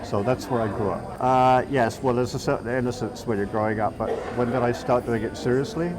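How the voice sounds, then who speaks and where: A man's voice: mid-range voice, steady tone, brisk, a crowd in the background. A middle-aged man from England with a southeast English accent, an artist speaking casually in an interview, hesitant with many fillers and restarts. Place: outdoors in a town.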